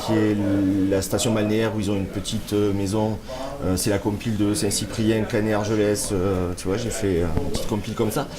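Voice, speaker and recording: Male author guest, low voice, stuffy with a cold, hesitant and reflective, crowd murmur behind. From France. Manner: thoughtful, hesitant, many fillers. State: slightly congested with a cold. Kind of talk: relaxed interview conversation.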